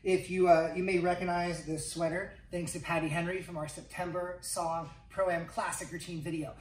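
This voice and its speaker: A man's voice: sits high, little pitch movement, moderate pace, far from the mic, slight background noise. A man hosting a casual instructional video diary as a dance teacher, chatty and playful in his delivery. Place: wood-panelled room indoors. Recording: echoey room, away from mic, music.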